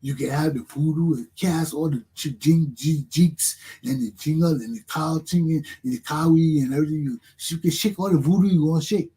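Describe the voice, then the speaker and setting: A man's voice: a little high, fairly quick, few pauses, dark tone, husky. A middle-aged man from Liberia with a Liberian accent, hosting a talk show and giving an emphatic, preachy motivational monologue that repeats its points.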